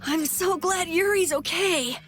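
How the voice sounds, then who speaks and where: A woman's voice: extremely high pitch, measured pace, fairly bright tone, faint music behind. An actress voicing a sweet, cheerful, girlish character in a video game, with a teasing manner. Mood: relieved, teasing. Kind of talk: game voice acting.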